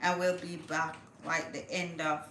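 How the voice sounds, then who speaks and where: A woman's voice: deep voice, level tone, unhurried, fairly bright tone, reverberant, slight background noise. A woman hosting a casual livestream, chatting to viewers and reading news aloud with small stumbles. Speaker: livestream host.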